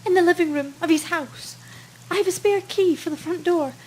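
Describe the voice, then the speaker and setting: A woman's voice: very high voice, moderate pace, darkish tone, rough recording. A woman playing a shaken, pleading bereaved client in a noir radio drama, earnest and distressed. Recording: background noise with hum.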